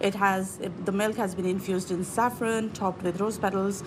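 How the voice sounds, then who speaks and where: A woman's voice: medium pitch, fairly even intonation, brisk. Eager woman explaining her desserts, noisy background. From Oman. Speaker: dessert maker, guest. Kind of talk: casual chat on morning TV.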